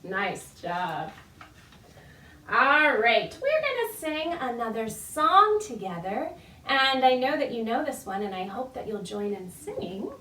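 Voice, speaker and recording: High-voiced woman leading storytime in an echoey room. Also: sing-song, measured pace, pushed and bright, a little hiss or hum. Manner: playful, encouraging, simple child-directed phrasing. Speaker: children's librarian leading baby storytime. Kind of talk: sing-song storytime with rhymes, songs and tips to parents. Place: indoor room, recorded virtual session. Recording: echoey room, distant microphone.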